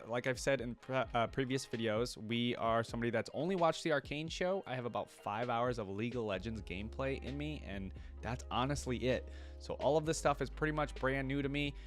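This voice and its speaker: A man giving casual reaction commentary as a video host, musing aloud and asking himself questions. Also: medium pitch.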